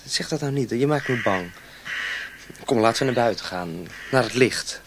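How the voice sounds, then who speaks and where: An actor playing a tender, anxious young lover in a radio drama, earnest and halting, often murmuring. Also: male voice, medium pitch, animated. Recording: clear outdoor nature noise.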